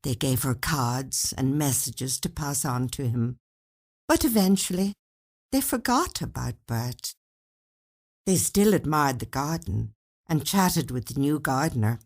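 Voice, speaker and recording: A woman narrates a short story in a low, slightly husky voice. She takes her time, and her intonation moves widely as she tells the tale expressively. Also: expressive, unhurried, a little mellow.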